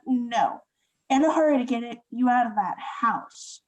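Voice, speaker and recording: A woman's voice: on the high side, a little slow, poor audio. A woman acting in a radio play as a kindly, fretful innkeeper, polite and earnest. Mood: relieved, fussing.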